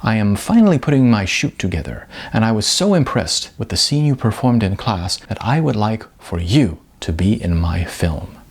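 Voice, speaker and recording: Guy doing a pompous wannabe auteur for an audiobook, super affected n stilted, gravelly, pitch all over. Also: very animated, normal speed, few pauses, full character voice. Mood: earnest, stilted. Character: shy, pretentious young male amateur writer-director.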